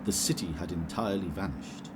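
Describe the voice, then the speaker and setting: Deep-voiced male narrator. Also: noisy background. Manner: measured, expressive reading. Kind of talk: scripted storybook narration.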